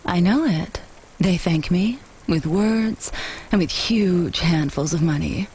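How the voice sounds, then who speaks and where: A woman playing a brisk, self-assured dominatrix in a video game, formal, clipped and commanding. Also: lowish voice, animated, darkish tone, slightly rough, heavily acted, rough recording.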